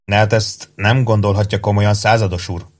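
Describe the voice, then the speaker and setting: A man's voice: fairly low pitch, a little mellow, lightly acted. A man reading as a shrewd, emphatic army sergeant. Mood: feigned shock. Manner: emphatic, calculating, feigning earnest concern. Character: shrewd, scheming male army first sergeant.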